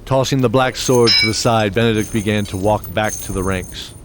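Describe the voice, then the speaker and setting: A man giving scripted, measured narration of a fantasy audio drama. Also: lowish voice, heavy background noise. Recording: with loud music behind.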